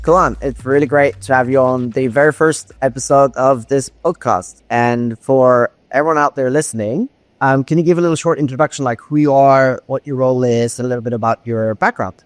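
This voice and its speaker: A man's voice: mid-range voice, brisk, no room sound. A man hosting a podcast, reading an upbeat scripted intro and then asking interview questions. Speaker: podcast host. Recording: music bed under the voice.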